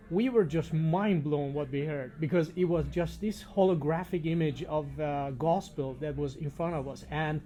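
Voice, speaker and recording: A man's voice: fairly high pitch, warm tone. A man speaking casually and appreciatively as an on-location interviewer at an audio show. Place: demo room at an audio show. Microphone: binaural microphone.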